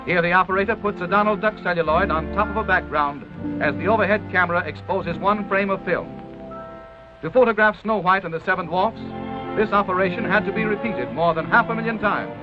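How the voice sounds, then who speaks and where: A man's voice: sits high, brisk. An American man narrating a 1930s promotional film in a formal, grandiose announcer style from a script. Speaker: documentary narrator. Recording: dull vintage 1930s film soundtrack, music. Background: orchestral music.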